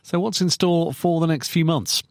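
Male news anchor, formal crisp delivery, dry studio sound. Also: on the high side, moderate pace, a little mellow, dry recording. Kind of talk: news presenting.

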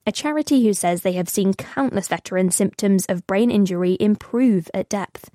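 A woman reporter narrating a news report in a measured, clear way. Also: mid-range voice, dark tone, no echo, clean studio sound.

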